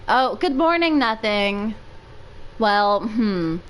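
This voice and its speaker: A woman's voice: on the high side, animated, slow, a little hiss or hum, low-quality recording. A woman voicing a mild, sleepy male bird teacher from a visual novel, speaking hesitantly. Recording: music playing behind the voice.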